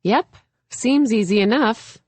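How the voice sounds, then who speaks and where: A flustered woman novice , acted by a woman narrator, speaks in audiobook dialogue, pitched up from the narration. She is self-doubting, nervous and wryly joking. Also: slow, darkish tone, husky, no room sound. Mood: unconvincing agreement.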